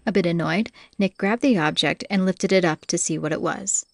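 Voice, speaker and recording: A woman's voice: fairly low pitch, normal speed, dry recording, clean studio sound. A woman reading scripted fantasy audiobook narration as the storyteller.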